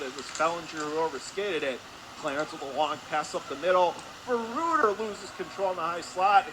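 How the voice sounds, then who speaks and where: A man doing live radio play-by-play commentary of an ice hockey game, in clipped running phrases. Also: a little high. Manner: clipped running phrases, calling action as it happens. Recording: clear steady arena noise behind voice. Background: hockey arena ambience.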